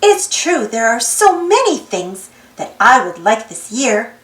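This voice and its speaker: A woman reads a children's storybook aloud at an unhurried pace. Her high voice swoops expressively in a singsong way. The room adds some echo, with music playing behind her. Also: sing-song, a bit bright. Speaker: storybook reader, channel host.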